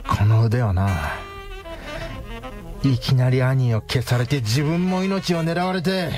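A man playing a tough, defiant detective hero in a radio drama, speaking in a rough, taunting, deliberate way. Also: medium pitch.

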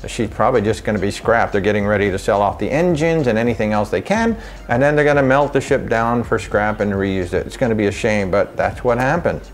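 A middle-aged man recording a casual travel vlog from a home office, running briskly through a list with wry asides. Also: on the low side, lively intonation. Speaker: travel vlogger. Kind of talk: casual rundown to camera.

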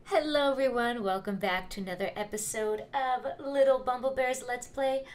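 A woman's voice: on the high side, normal speed, background noise. A woman with an enthusiastic, friendly manner doing an upbeat channel intro as a let's play host.

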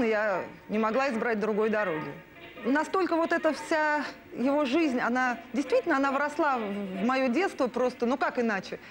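A woman in her thirties telling personal memories expressively in a documentary interview. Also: a little high. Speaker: artist, interviewee recalling family. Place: indoor studio room full of handmade puppets. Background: music.

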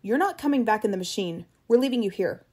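A woman's voice: medium pitch, animated, quick pace, a little mellow, breathy edge. A woman voicing a furious, hard-bitten male time-safari guide who barks commands and accusations.

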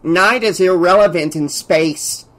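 A man's voice: high voice, a little slow, a bit bright, voice pushed up. A man voicing a fragile, awkward young male assistant in his twenties, reedy and fawning, in an audiobook story. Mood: earnest.

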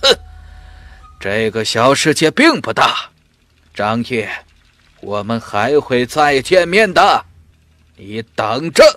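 A man's voice: mid-range voice, sing-song, slow pace, long pauses, a bit bright, dry recording. Man acting a menacing, powerful warrior rival. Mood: angry, threatening. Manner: menacing, roaring.

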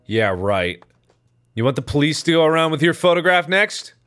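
A man voicing a stern, cold mother who nags her young son in a sharp way in a visual novel game. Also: on the high side, big pitch swings, moderate pace, soft background music, voice pushed up. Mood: scolding.

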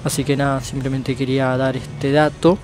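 A man's voice: medium pitch, level tone, moderate pace, music in the background. A man in his thirties with a Uruguayan accent, talking casually to viewers while he walks around town and through shops. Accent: Uruguayan Spanish. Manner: chatty, addresses viewers as "gente". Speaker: travel vlogger. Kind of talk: casual walking vlog. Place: city streets and inside shops. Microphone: action camera held close.

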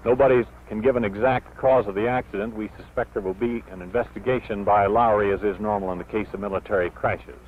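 A man's voice: lowish voice, fairly even intonation, fast talker, few pauses. An American man giving an on-the-spot radio news report from outdoors. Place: outdoors near an airfield. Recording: tinny field radio, faint plane noise.

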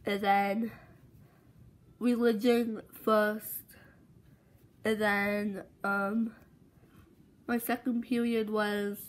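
A woman voicing a forgetful teenage male student who hesitates and trails off quietly, recorded on a phone. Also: mid-range voice, close to an everyday voice.

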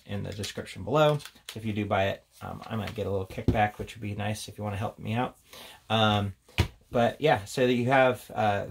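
A man's voice: on the low side, gravelly, slight background noise. A man giving a casual, off-the-cuff product review in an informal, chatty way as he presents. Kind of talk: casual unboxing and review chat.

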